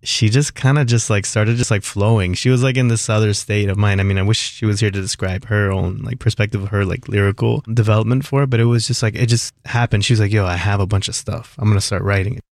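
Laid-back low-voiced man, rambling. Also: quick pace, creaky, few pauses, dry recording, studio quality. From South Florida. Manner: relaxed, rambling, many fillers. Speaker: musician guest. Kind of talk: casual radio interview.

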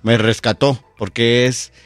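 A middle-aged man from Guatemala, telling his story as a radio guest, unhurried with many fillers. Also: mid-range voice, level tone, dry recording. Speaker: storyteller and event producer, guest. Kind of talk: radio interview. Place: radio studio.

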